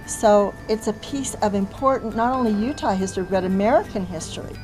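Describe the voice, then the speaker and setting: A woman's voice: mid-range voice, fairly quick, poor audio. A woman talking enthusiastically and conversationally in an interview. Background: music.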